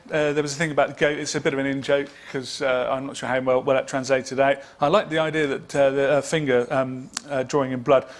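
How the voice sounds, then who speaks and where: A man giving a casual recap of his sketches on a live show, halting, with many ums and restarts. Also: fairly high pitch, a little hiss or hum. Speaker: guest sketch artist.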